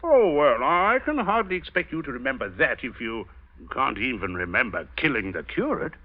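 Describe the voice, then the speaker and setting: An actor playing a sly, mock-polite gentleman blackmailer with sardonic hesitations, in 1940s radio drama style. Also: medium pitch, very animated, moderate pace. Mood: sly, taunting. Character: sly, insinuating gentleman blackmailer, coolly taunting. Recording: muffled old radio recording.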